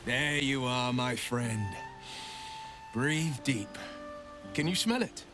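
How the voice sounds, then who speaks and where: An actor playing a battle-seasoned heroic adventurer in a video game, with a theatrical storytelling delivery. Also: male voice, medium pitch, animated, slow, music in the background. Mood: savoring, friendly. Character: heroic adventurer man, battle-seasoned monster hunter, warm comrade. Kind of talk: video game character dialogue.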